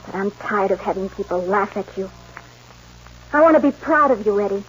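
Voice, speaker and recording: An actress playing a principled, firm young rancher's daughter in a 1940s radio western. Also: female voice, mid-range voice, animated, normal speed, fairly bright tone, lightly acted. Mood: stern, heartfelt. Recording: dull, noisy old radio recording.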